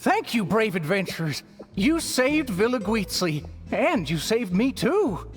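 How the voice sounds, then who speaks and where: An actor voicing a polite, formal host of an amusement resort. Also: male voice, high voice, big pitch swings, a little slow. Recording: game scene with faint music.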